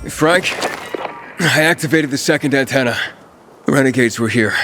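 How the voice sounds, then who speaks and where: An actor playing a stubborn, determined young survivor in a video game, speaking tersely. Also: male voice, medium pitch, moderate pace, noisy background, natural voice. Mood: focused. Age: young adult. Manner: terse, resolute. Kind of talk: video game character dialogue. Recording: music behind the voice.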